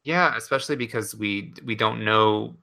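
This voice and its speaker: A man's voice: lowish voice, normal speed, gravelly, bright, forceful tone. A man co-hosting a gaming podcast, talking casually and enthusiastically with many fillers. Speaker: podcast co-host. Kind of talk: casual chat.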